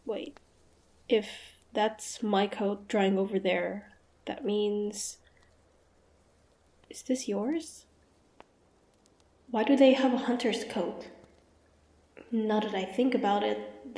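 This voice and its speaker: A woman's voice: medium pitch, dark tone, lightly acted. A woman playing a proud, suspicious young female hunter in a fantasy audio roleplay, guarded and easily flustered, with a grudging tsundere streak.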